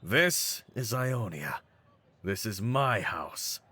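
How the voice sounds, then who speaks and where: A man voicing a cocky, brawny pit-fighting champion in a roleplay audio, with a deep voice and streetwise, mocking swagger. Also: lively intonation, extremely slow, sharp tone, breathy edge, heavily acted. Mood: mocking, assured. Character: cocky, brawny half-breed pit-fighting champion, hyping his partner. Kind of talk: scripted in-character roleplay audio.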